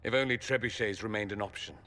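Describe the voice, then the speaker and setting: A man's voice: lowish voice, fast talker, sharp tone. An actor playing a dry-witted male mage who speaks in an arch, sardonic way, in a video game cutscene. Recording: long hall reverb.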